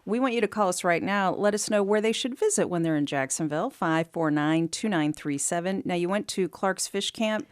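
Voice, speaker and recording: A woman's voice: fairly low pitch, a little fast, hardly any pauses, smooth, no room sound. A woman hosting a live radio call-in show, interviewing guests in a studio. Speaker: radio talk show host.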